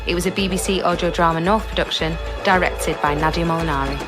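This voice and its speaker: A woman's voice: on the low side, fairly even intonation, quick pace. A woman radio announcer giving a scripted reading of programme credits. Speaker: continuity announcer. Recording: loud music underneath. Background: music.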